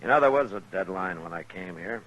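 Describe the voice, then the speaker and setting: A man playing a calm, weary, steadfast frontier marshal with an American accent and measured, understated delivery in a 1950s radio western. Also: low voice, dull audio. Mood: calm, dry. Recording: vintage 1950s radio recording.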